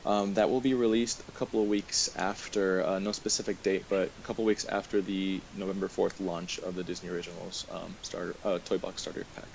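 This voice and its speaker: Man, webcast host, lowish voice, halting casual rundown, hedging delivery, faint background hiss. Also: little pitch movement, gravelly. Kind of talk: casual news rundown from notes. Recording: hissy, noisy online webcast audio.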